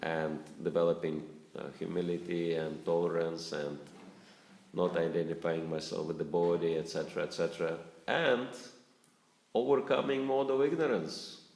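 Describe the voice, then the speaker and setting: A man's voice: sits low, fairly even intonation, moderate pace, vocal fry, a little mellow, a little hiss or hum. A man giving a spiritual talk to a live audience, asking them questions and chuckling often as he speaks. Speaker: spiritual teacher. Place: lecture room with audience. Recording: roomy echo.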